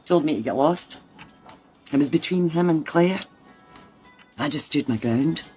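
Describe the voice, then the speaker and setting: A woman's voice: low voice, measured pace, darkish tone, soft background music. An actress playing a harassed, blunt working-class mother with a Scottish accent in a radio crime drama. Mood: earnest, upset. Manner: blunt, emphatic, colloquial.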